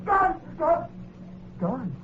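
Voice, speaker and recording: An American actor playing a guilty, slow-witted gangster who is haunted, stammering and agitated in a 1940s radio drama. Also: male voice, very high-pitched, sing-song, heavily acted. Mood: terrified. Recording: noisy, tinny vintage radio recording.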